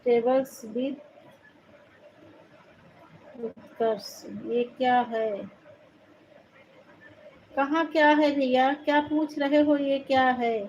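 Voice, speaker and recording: A woman's voice: high-pitched, steady tone, extremely slow, long pauses, lo-fi sound, slight background noise. A woman from Uttar Pradesh with an Awadhi-tinged Hindi accent, hosting a live stream, chatting casually and thanking viewers one by one. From Uttar Pradesh, India. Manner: warm thanking, calls viewers brother. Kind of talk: casual live chat reading viewer comments.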